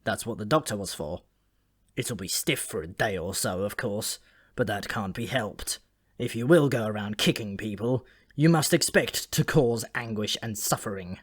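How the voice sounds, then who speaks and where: A man's voice: fairly low pitch, moderate pace, husky. Male narrator as sour dwarf prince, dry, sneering and grumbling, for a comic fantasy storybook. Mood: calm, dry. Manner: dry, scornful, complaining.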